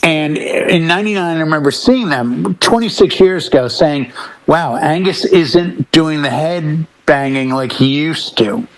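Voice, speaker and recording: A middle-aged man co-hosting a casual music chat, telling stories with deliberate pauses and emphasis. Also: on the high side, rough recording. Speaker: talk show co-host.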